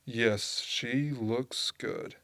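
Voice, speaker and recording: A man's voice: medium pitch, very slow, creaky, a bit bright, no room sound, exaggerated voice. Businesslike male film director played by an actor. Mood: commanding. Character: businesslike male propaganda director giving orders. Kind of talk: amateur radio drama acting.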